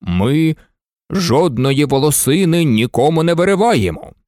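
A woman voicing a stern, proud male toy warrior chief who speaks slowly and firmly. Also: sits low, animated, darkish tone, no room sound, clean studio sound. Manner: stern, slow.

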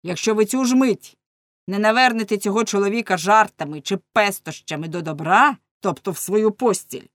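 A woman voicing a stern bawdy-house mistress in a storybook, scolding loudly in a commanding, menacing manner. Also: medium pitch, moderate pace, no echo, studio quality, close to an everyday voice. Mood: angry, threatening.

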